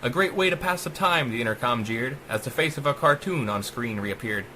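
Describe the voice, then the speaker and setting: A man's voice: medium pitch, lively intonation, fairly quick, pushed and bright, a little hiss or hum. A man giving a dramatic, theatrical audiobook narration of horror verse, with a sing-song rhyming cadence. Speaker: author reading own story.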